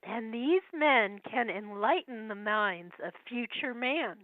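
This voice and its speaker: Brief: higher-pitched woman, under a cold, slow deliberate verse reading, tinny phone call, dry. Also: expressive, dry recording. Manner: slow, measured verse reading, chatty asides. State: has a cold, voice going. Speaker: guest researcher. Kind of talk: phone interview, reading prophecy verses aloud.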